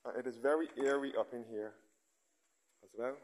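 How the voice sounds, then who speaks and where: A man giving a relaxed guided walking tour, recorded on a handheld camera as he moves from a churchyard into a large church. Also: medium pitch, normal speed, slight background noise, low-quality recording. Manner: relaxed, pointing things out as he walks. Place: outdoors in a churchyard, then inside a large church.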